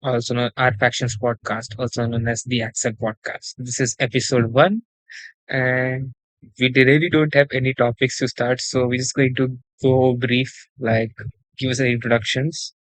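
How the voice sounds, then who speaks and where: Young guy from india hosting a gaming podcast, pretty monotone, dry close sound, keeps restarting his sentences. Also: mid-range voice, normal speed, no echo, poor audio. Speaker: podcast host. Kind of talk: casual chat.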